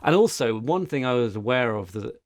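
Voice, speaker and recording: A man's voice: mid-range voice, lively intonation, normal speed, no room sound. Man, podcast co-host, mid-range voice, rambling casual storytelling, dry close sound.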